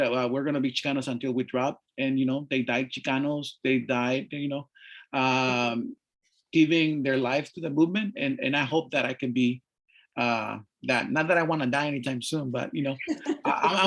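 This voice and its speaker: A middle-aged man from Mexico, speaking on an art panel and telling his story in a halting way, with many fillers and restarts. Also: mid-range voice, fairly bright tone. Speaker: panelist, artist. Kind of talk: panel discussion, personal story.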